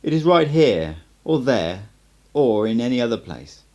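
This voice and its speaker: A man's voice: mid-range voice, sing-song, unhurried, mellow, lo-fi sound. A man voicing an assured, cryptic sorcerer mentor in an audiobook, with an emphatic, instructive manner. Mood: solemn, emphatic.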